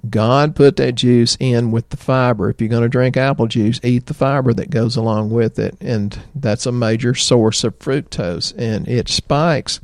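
A middle-aged man with a Southern American accent, a doctor chatting in a folksy, rambling way about health, his voice slightly hoarse. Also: medium pitch, steady tone, a little slow, few pauses, no echo, clean studio sound. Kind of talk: health talk show chat.

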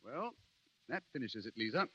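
Exasperated, sharp-voiced husband, old radio. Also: male voice, mid-range voice, sing-song, moderate pace, breathy edge, faint music behind, full character voice. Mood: resigned, dry. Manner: theatrical, dryly sardonic, flustered under pressure. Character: exasperated, weary husband divorcing his absent wife. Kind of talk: 1930s radio drama acting. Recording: dull vintage 1930s radio recording.

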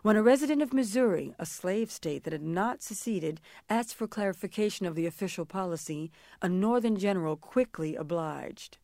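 A woman narrator with a very mellow, relaxed tone and medium pitch. She reads a scripted history in deliberate, formal phrases. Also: no room sound, clean studio sound.

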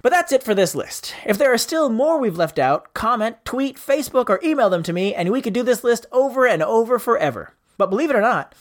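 A man's voice: very high voice, expressive, fairly quick, few pauses. A man hosting a podcast, reading listener feedback with jokey, self-mocking asides. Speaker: podcast host. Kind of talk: scripted podcast segments.

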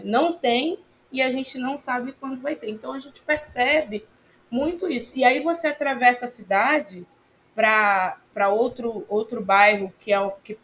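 A woman, giving a reflective interview answer and often pausing and repeating words as she thinks. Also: a little high, a bit bright. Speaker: community church member.

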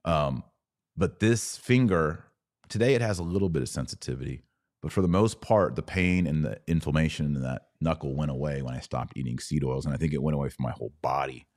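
A man's voice: a bass voice, fairly quick, darkish tone, dry recording, studio quality. A man speaking as a podcast host in an informal, emphatic, slangy way, chatting casually and answering live comments. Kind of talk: casual livestream chat answering viewer comments.

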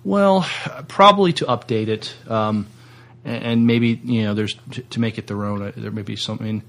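A man with a fairly low, creaky voice chats as a podcast co-host. His delivery is casual and a bit halting, as if feeling out each thought. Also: fairly bright tone.

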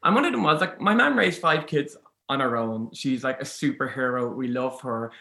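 Young guy, candid storytelling, bright lively voice, echoey room. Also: medium pitch, moderate pace, vocal fry, a little hiss or hum. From Ireland. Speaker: podcast guest.

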